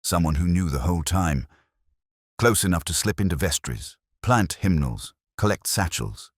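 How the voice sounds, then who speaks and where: A man voicing a courteous, grave police inspector in a story, measured and solemn. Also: low-pitched, lively intonation, breathy edge, no echo, studio quality. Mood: quietly pensive.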